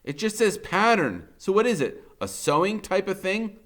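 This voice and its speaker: A man voicing a big, easygoing, slow-witted boy in a children's novel, talking plainly and with some puzzlement. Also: high voice, very animated, a bit bright, pitched above the performer's own voice. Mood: puzzled.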